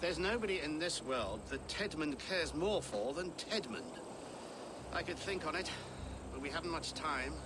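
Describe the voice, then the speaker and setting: A theatrical, wry abbot played by a man in a video game drama. His voice sits fairly high and moves in lively swings, fretful and worldly, over clear background ambience. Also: normal speed, background noise, natural voice.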